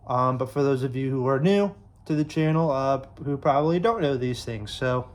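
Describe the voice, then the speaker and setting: A man's voice: mid-range voice, normal speed. A young man from Illinois chatting casually to camera, rambling with lots of fillers and restarts. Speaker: YouTube sports creator.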